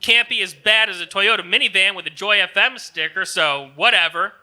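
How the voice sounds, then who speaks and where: A man's voice: high-pitched, fairly quick, pushed and bright. A man co-hosting a live comedy show, reading a script of comments in a snarky way. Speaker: comedy show co-host and performer.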